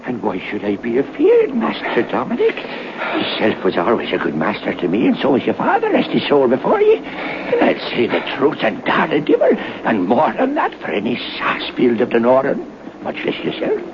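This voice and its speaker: An actor playing a loyal, fretful old Irish butler in an old-time radio drama, excitable and deferential. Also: male voice, mid-range voice, moderate pace. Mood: startled, earnest. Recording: noisy, muffled old radio recording.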